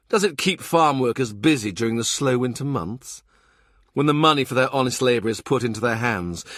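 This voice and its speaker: A man reads a historical novel aloud in a steady, measured way. His voice sits at an ordinary middle pitch. The recording is close and completely dry. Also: dry recording. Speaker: audiobook narrator. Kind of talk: scripted narration of a historical novel.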